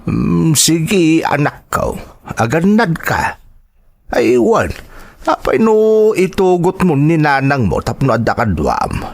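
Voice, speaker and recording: An actor playing a concerned, gentle and thrifty elderly father in an Ilocano radio drama, with sighing, admonishing talk. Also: mid-range voice, very animated, takes it slowly. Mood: gently admonishing.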